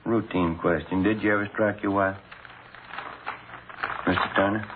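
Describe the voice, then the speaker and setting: Casting: male actor as patient detective sidekick, deep and matter-of-fact, period radio police drama with muffled sound. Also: moderate pace, close to an everyday voice. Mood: probing. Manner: polite, even, matter-of-fact. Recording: muffled, noisy old-time radio recording.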